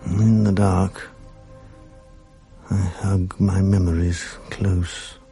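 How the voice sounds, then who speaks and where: A slow, grave, haunted narrator. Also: male voice, deep voice, level tone, creaky, dark tone, soft background music, full character voice. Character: brooding male narrator, haunted by the dead. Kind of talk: 1980s radio drama narration.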